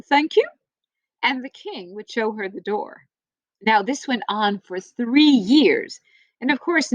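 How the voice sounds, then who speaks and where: A woman reading a children's storybook aloud like a teacher, with playful, theatrical emphasis and drawn-out phrases. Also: fairly high pitch, dry recording, dull audio.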